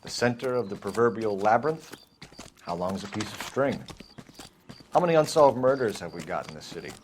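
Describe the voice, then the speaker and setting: A man playing an earnest, self-assured 1940s American detective in a video game, speaking crisply with dry sarcasm. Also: fairly low pitch, animated, fairly quick, a little hiss or hum, natural voice. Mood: intent, analytical. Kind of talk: cinematic video game acting. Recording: loud music behind the dialogue.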